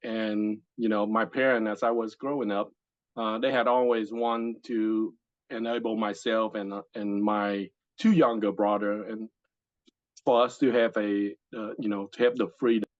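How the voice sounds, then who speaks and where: A man's voice: on the low side, flat delivery, normal speed, no room sound, lo-fi sound. A man from Vietnam, a retired Marine, answering interview questions politely and hesitantly, with many fillers, over a video call. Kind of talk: casual interview.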